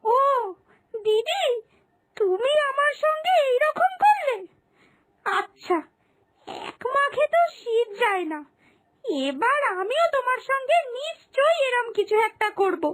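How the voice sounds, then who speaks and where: A woman voicing a feisty, scheming younger daughter-in-law in a children's cartoon, taunting and expressive. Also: very high-pitched, slow, no echo, studio quality, heavily acted. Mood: hurt, vengeful. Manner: taunting, sharp-tongued, expressive. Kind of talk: Bengali moral-story cartoon dubbing.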